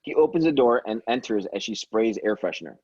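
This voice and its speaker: Guy reading out script directions plainly, kinda husky, dry muffled mic. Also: fairly low pitch, animated, fairly quick, darkish tone, no echo. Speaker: stage directions reader at a table read.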